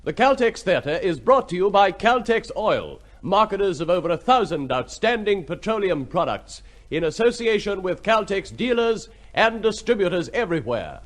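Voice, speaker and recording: Dramatic high-pitched vintage radio announcer, male. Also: sing-song, normal speed, hardly any pauses, a little hiss or hum. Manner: declamatory, dramatic. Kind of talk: formal 1950s radio announcing. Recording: vintage radio broadcast with music.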